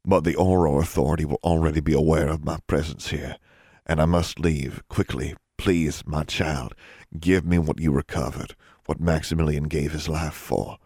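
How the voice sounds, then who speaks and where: Male reader as grave fantasy father: low-pitched, solemn, formal, stern, for a dramatic audiobook. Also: fairly even intonation, normal speed, warm tone, no echo, studio quality. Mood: solemn urgency. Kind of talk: dramatic audiobook character acting.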